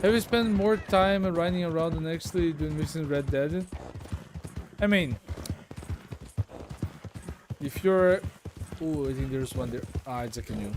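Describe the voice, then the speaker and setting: A man's voice: on the high side, unhurried, long pauses, background noise. A man from Brazil chatting casually with viewers while playing a game on a livestream, rambling with many fillers. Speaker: live streamer. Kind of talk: casual gameplay livestream chat. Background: game music and horse sounds.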